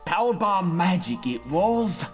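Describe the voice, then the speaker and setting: A man's voice: high-pitched, big pitch swings, measured pace, soft background music. A man playing a rustic, opinionated earth pony father in a fan audio story, talking in folksy country phrasing. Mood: awed, storytelling. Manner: folksy rural dialect grammar. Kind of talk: fan audio drama acting.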